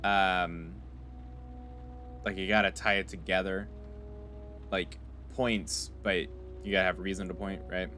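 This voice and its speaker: A young man casually commenting on a game as he plays, reading its lines aloud and thinking out loud with fillers. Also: low-pitched, level tone, measured pace, long pauses, a little hiss or hum. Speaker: gaming YouTuber.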